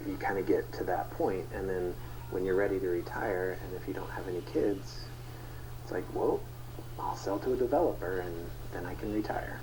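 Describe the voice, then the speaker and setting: An American man speaks off the cuff as an interviewee in a news report. His voice is very low, and there is clear background noise around him. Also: moderate pace. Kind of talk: unscripted interview talk.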